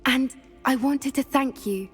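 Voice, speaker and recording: A woman voicing a gentle, earnest teenage witch in a video game, sincere and slightly hesitant. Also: high voice, measured pace, slightly rough, soft background music, heavily acted. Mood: warm, sincere. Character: earnest, gentle teenage schoolgirl at wizard school. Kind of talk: naturalistic video game cutscene acting.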